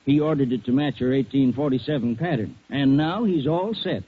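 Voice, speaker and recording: An actor playing a plain-spoken, assured American silverware store owner in a 1940s radio commercial. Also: male voice, medium pitch, expressive, brisk, narrow, tinny audio, close to an everyday voice. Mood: folksy, assured. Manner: folksy, assured. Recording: thin, faintly noisy 1940s radio recording.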